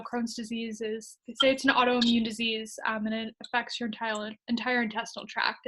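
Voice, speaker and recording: A woman's voice: a little high, fairly bright tone, rough recording. A woman from upstate New York, a digital marketer and coach, chatting eagerly as an interview guest and laughing often. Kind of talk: casual interview.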